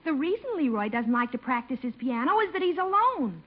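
Animated, high-pitched eager young relative. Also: female voice, fairly quick. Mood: earnest, persuasive. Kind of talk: 1950s radio comedy acting. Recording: dull old radio broadcast recording.

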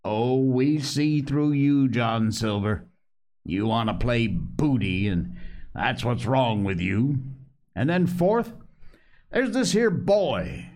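A man voicing a surly, rebellious male pirate crewman who speaks bluntly in rough sailor dialect. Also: mid-range voice, expressive, slow, a little mellow, voice pushed up. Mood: accusing. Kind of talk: audiobook character reading.

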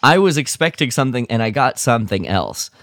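A man's voice: mid-range voice, animated, a little fast, a bit bright, no room sound, clean studio sound. A man co-hosting a podcast in casual fan discussion, explaining things with plenty of "like". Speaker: podcast co-host.

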